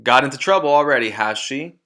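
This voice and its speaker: A man's voice: medium pitch, lively intonation, a little fast, sharp tone. A man voicing a brusque, dismissive middle-aged father in a storybook reading. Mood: irritated, dismissive. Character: gruff, curt, rude car-dealer father, middle-aged man.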